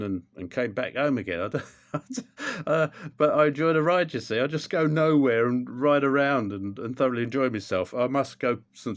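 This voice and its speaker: An older man, chatting casually through a how-to demonstration at home, rambling with plenty of ums and restarts. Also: lowish voice. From England. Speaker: motorcycle vlogger.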